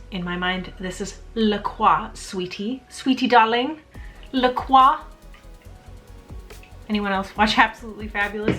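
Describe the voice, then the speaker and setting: A young woman chats at home in a casual vlog. She is bubbly and self-mocking, quick with jokes and laughter. Her voice is bright and clear, and faint background music runs underneath. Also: mid-range voice. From Canada. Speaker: vlogger. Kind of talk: casual snack review vlog.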